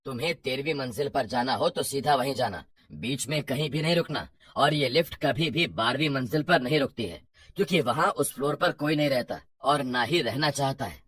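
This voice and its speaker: Woman doing a low-voiced, brisk male broker. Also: animated, fairly bright tone, slightly rough, dry recording, heavily acted. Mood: cautioning. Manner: matter-of-fact, bossy, dismissive. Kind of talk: animated horror story dialogue.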